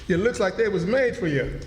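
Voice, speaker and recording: Guy playing kindly curious runaway man, high voice, southern us country talk, radio play, very echoey. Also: slight background noise. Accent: American Southern. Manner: folksy dialect. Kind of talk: live radio drama acting. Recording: echoey hall, music behind.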